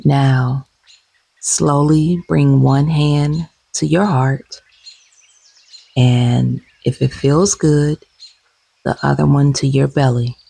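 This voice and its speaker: A woman leading a guided meditation gently and soothingly, with soft, breathy, husky tones and long unhurried pauses. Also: a bass voice, steady tone, dry recording.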